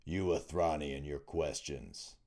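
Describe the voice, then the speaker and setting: Man reading as a deep, mocking brute jailer. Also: steady tone, unhurried, warm tone. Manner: mocking, barking orders. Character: brutish scarred male jailer, mocking and gruff. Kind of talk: audiobook character reading.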